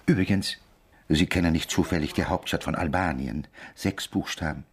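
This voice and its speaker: An actor playing an absent-minded, chatty hotel receptionist in a German radio play. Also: male voice, deep voice, normal speed. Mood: puzzling, chatty. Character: distracted male hotel receptionist absorbed in crosswords.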